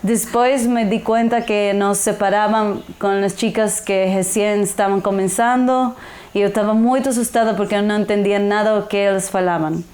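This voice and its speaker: Young ecuadorian woman, spanish accent in portuguese, halting, mixes in spanish words. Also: mid-range voice, fairly even intonation, a little mellow. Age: young adult. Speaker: resident sharing her testimony. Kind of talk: personal testimony.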